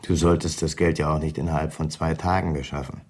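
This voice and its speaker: An actor playing a cold, suspicious, menacing blackmailer with a gravelly voice in a radio crime drama. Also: male voice, a bass voice, fairly even intonation, fairly quick, a little mellow, exaggerated voice.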